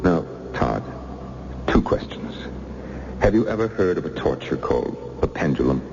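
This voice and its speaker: An actor playing a menacing, coldly polite interrogator with a silky, taunting manner in an old radio thriller. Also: male voice, extremely low pitch, animated, normal speed, vocal fry, a little mellow, heavily acted. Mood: calm menace. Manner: silky, mock-courteous, taunting. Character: menacing male interrogator, coldly polite villain threatening torture. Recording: muffled, hissy old radio recording.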